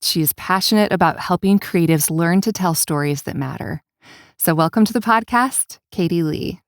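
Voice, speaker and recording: A woman speaks as the host of an interview podcast. Her voice sits a little lower than most women's. The sound is dry, with very little room on it. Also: moderate pace, no echo. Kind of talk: warm interview with scripted introduction.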